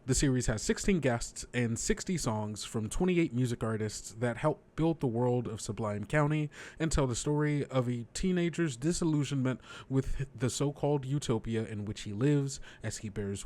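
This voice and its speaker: A man giving a scripted podcast introduction in a measured, explanatory way. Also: medium pitch, dark tone. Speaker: podcast creator and presenter.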